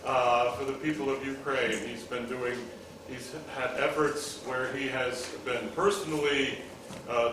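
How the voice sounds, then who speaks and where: A man introducing the next speaker at a benefit, halting with many fillers. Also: medium pitch. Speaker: event presenter. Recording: echoey hall, distant mic, noisy.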